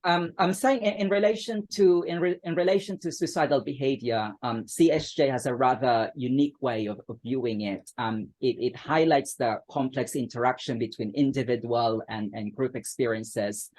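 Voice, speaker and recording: A man's voice: medium pitch, fairly even intonation, moderate pace, hardly any pauses, a little mellow. A man from the Philippines, answering interview questions hesitantly, with many ums and restarts. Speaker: guest author.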